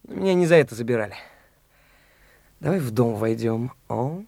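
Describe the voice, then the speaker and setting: A man's voice: medium pitch, lively intonation, moderate pace, vocal fry, dark tone, dry recording. An actor playing Mitya, a brash, pushy young man who talks in a taunting, blunt way, in a studio radio play.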